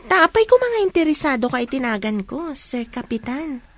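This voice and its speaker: Young female lead, radio drama, woman acting: high pitch, pert, spirited, playfully teasing. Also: big pitch swings, normal speed, dry recording.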